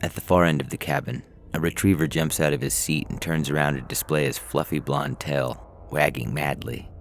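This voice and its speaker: A man narrating scripted fiction in a measured, dramatic storytelling manner. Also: extremely low pitch, steady tone, gravelly, a little hiss or hum. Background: music.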